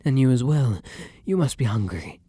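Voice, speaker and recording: Man as a very breathy, tender vampire lover. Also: mid-range voice, very animated, normal speed. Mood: apologetic, affectionate. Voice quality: breathy, often dropping into whispers. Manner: gentle, courtly, earnest endearments. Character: tender, weary male vampire lover on the run. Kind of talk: intimate ASMR romance roleplay.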